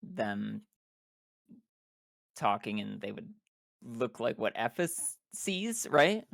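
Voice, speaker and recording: A man's voice: lowish voice, sing-song, extremely slow, gravelly, a bit bright, no room sound. A man playing a tabletop roleplaying game, explaining and asking things in casual table chat.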